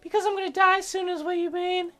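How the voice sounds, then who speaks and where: A man's voice: extremely high pitch, little pitch movement, brisk, bright, forceful tone, faint music behind, pitched above the performer's own voice. A man voicing a teasing, wistful, stubborn young sick girl in a visual novel, with a hesitant, pleading way of talking. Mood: frank, wistful.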